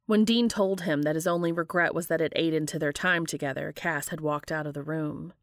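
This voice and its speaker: A woman's voice: low voice, fairly even intonation, brisk, no echo, clean studio sound. A woman reading a story aloud in audiobook-style narration, as the reader of a fiction podcast.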